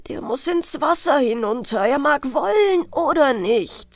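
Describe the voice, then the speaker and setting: A woman's voice: high voice, animated, a little slow, dry recording, studio quality, pitched above the performer's own voice. Woman acting a stern old fisherman father, emphatic. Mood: ominous. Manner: emphatic, commanding, admonishing. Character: stern, vehement old fisherman father warning his daughter.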